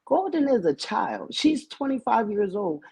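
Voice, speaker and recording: A woman's voice: a little high, very animated, measured pace, gravelly, a little mellow, slightly rough. A woman in her forties calling in to a talk show, firm and argumentative as she pushes her point. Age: 40s. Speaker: caller. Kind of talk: casual call-in chat.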